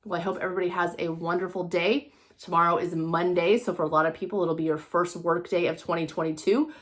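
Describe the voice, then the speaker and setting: A woman's voice: lowish voice, steady tone, quick pace. An American woman speaking as a motivational coach in a casual monologue, earnest and encouraging, repeating phrases for emphasis.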